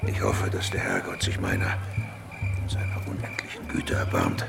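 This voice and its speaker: An actor playing a world-weary former mercenary turned peasant rebel in a German radio drama, brooding and halting, confiding in a gravelly voice. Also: male voice, a bass voice, big pitch swings, loud music behind the voice, heavily acted. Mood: bitter, remorseful.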